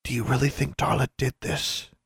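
Timid schoolgirl friend male narrator playing her, worried, pleading, lifted pitch, dry sound. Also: animated, fast, a little mellow, no room sound, natural voice.

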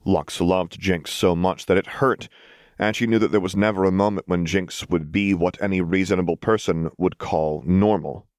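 A man's voice: low voice, fairly even intonation, normal speed, a little mellow, no room sound, studio quality. A man reading scripted fiction as an audiobook narrator.

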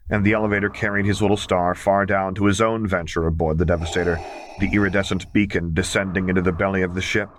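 Very low-voiced man narrating fiction. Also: a little hiss or hum. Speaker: audiobook reader.

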